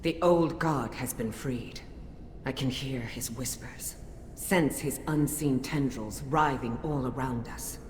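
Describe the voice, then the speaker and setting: A woman playing a solemn, wary noblewoman warrior who counsels her king, measured and formal, in a game cutscene. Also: rumbling low, a little mellow. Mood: grave, worried. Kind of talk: video game cutscene acting. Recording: echoey hall reverb, faint ambient noise.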